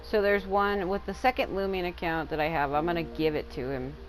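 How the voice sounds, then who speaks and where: Lowish-voiced woman commenting on gameplay. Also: steady tone, fairly quick, poor audio. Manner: informal, swears freely. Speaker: gaming video creator. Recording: game music behind the voice.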